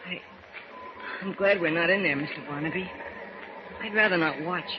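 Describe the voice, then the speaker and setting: A man's voice: fairly high pitch, moderate pace, lightly acted. A man playing an earnest, loyal teenage boy in 1940s radio drama style, with boyish gee-whiz delivery. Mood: anxious admiration. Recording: muffled, noisy old radio recording.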